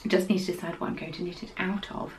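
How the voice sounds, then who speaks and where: A woman's voice: fairly low pitch, little pitch movement, fast, slight background noise. Chatty woman hosting a podcast. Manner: chatty and rambling, with quiet whispered asides. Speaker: podcast host. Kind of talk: casual chat about knitting.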